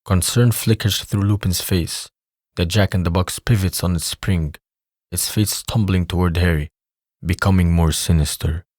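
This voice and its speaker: A man's voice: sits low, fairly even intonation, moderate pace, darkish tone, dry recording, clean studio sound. A man reading scripted scene narration for an audio drama.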